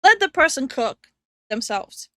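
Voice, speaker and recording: A young woman with a slight Indian English accent chats casually and is quick to laugh. Her voice is high and lively, and the recording is dry and close. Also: no echo. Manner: giggly, animated, quick to laugh. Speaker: co-host reacting to online drama. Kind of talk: casual chat.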